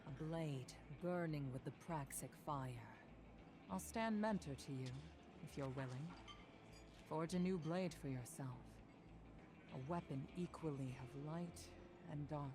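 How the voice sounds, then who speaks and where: A woman playing a resolute, serious warrior mentor in a video game, speaking in a formal, deliberate, earnest way. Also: sits low. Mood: solemn, hopeful. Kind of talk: dramatic video game dialogue. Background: prominent music.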